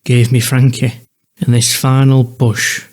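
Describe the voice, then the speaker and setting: A man's voice: mid-range voice, level tone, slow, a little mellow, natural voice. A man playing an erratic, desperate drug addict living in a hostel, sometimes shrieking, in an audio drama. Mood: bitter, unhinged.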